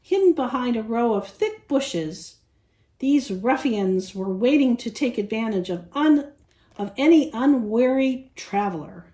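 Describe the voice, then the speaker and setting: Female children's storyteller, fairly high voice, very lively intonation, picture-book read-aloud. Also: a little slow.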